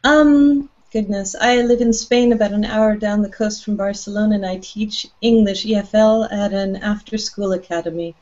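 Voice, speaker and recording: A woman's voice: medium pitch, moderate pace, hardly any pauses, a little mellow. A woman who teaches English, introducing herself in a chatty way and laughing easily on a video call. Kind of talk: casual self-introduction. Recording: dull lo-fi call audio.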